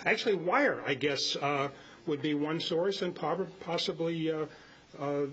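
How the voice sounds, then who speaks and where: A man in his seventies giving an informal, halting, rambling talk to a club meeting. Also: a little high, a bit bright. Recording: muffled live meeting audio with hiss.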